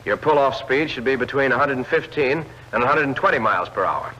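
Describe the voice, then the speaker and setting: A man reads scripted instructional narration for a 1940s military training film, clearly addressing the trainee directly. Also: medium pitch, lively intonation, brisk, pushed and bright. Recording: old film soundtrack, dull lo-fi band.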